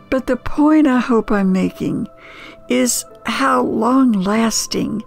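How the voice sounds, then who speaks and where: Older American woman, heavily creaky, slow storyteller. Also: medium pitch. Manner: folksy, unhurried, emphatic pauses. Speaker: storyteller and host. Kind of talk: nostalgic personal storytelling.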